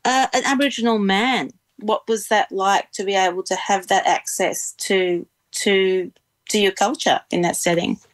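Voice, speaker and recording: A woman's voice: mid-range voice, steady tone, moderate pace. An Australian woman hosting a conversational interview, effusive and repeating words as she feels her way into questions. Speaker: interviewer, host.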